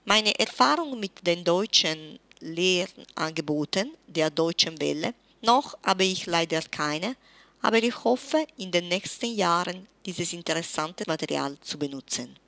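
A woman in her forties from Italy, with an Italian accent and Italian as her first language, giving a careful, measured self-introduction as a German teacher. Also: lowish voice, expressive, fairly bright tone, dry recording. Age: 40s. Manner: careful, measured, slightly formal.

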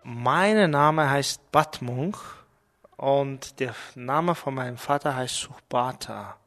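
A middle-aged man from Mongolia, speaking with a Mongolian accent and talking thoughtfully about his life, pausing as he searches for words. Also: medium pitch, fairly bright tone, in a large hall, soft background music. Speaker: interviewee. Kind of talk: portrait interview, personal reflections.

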